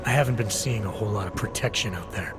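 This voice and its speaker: An actor playing a terse, skeptical detective in a video game, probing in a clipped, dry, challenging manner. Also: male voice, on the low side, fast talker, lightly acted. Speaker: Interpol investigator. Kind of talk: video game cutscene dialogue. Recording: background music under dialogue.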